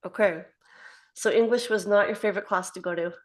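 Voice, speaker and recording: A woman in her forties hosts an interview over a laptop webcam from her home office. Her voice is mid-pitched and friendly, with frequent light laughter. She fires off quick questions. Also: steady tone. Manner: friendly, laughs often, asks rapid-fire questions. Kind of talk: video call interview. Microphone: laptop webcam on video call.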